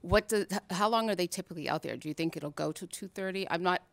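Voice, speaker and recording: A man's voice: high-pitched, fast talker, vocal fry, fairly bright tone, dry recording. A man on a town board at a public meeting, thanking and asking in a casual, appreciative way. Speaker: board member.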